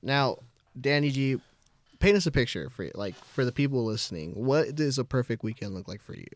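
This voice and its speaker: A man's voice: medium pitch, big pitch swings, moderate pace, dry recording. A man hosting a podcast, asking questions and affirming in an easygoing, casual way. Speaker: podcast host.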